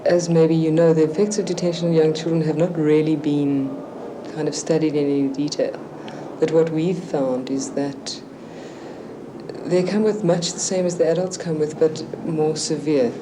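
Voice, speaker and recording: A woman explains as an interviewed specialist in a low-pitched, level voice. Clear ambient noise runs behind her. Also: fairly even intonation, normal speed. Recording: noisy location interview.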